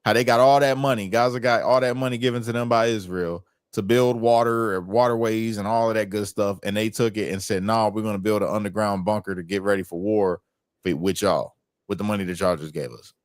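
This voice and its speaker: A man's voice: fairly low pitch, fairly even intonation, brisk, no echo, clean studio sound. An American man chatting casually on a political livestream in colloquial, streetwise slang. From United States. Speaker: livestream host.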